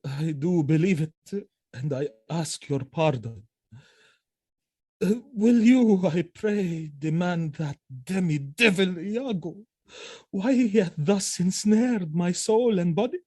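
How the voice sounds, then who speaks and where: An anguished, proud general speaks in slow, weighty Shakespearean verse. The voice is mellow and recorded close and dry, with a declamatory, grief-laden manner. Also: male voice, high voice, lively intonation, no echo, full character voice. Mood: bitter, subdued. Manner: declamatory, emotionally heavy, drawn-out phrasing. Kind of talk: Shakespearean audio drama acting.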